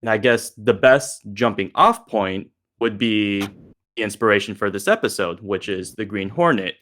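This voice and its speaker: Low-voiced man hosting a casual discussion. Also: lively intonation, moderate pace, fairly bright tone. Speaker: discussion host, voice actor.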